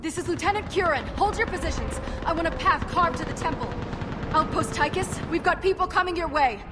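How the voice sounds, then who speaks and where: An actress voicing a blunt, battle-weary alien army lieutenant in a video game, with a clipped, urgent military delivery. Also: female voice, very high-pitched, brisk. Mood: resolved. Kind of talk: dramatic video game acting. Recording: very noisy battlefield mix with gunfire.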